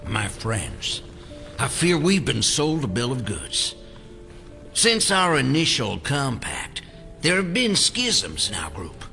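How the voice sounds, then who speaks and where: An aggrieved old elder of a shadowy group. His voice is creaky and hard-edged, rising and falling widely through weighty, formal speech. It is audio drama with faint music. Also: mid-range voice, wildly swooping pitch, slow, sharp tone, exaggerated voice. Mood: grave, reproachful.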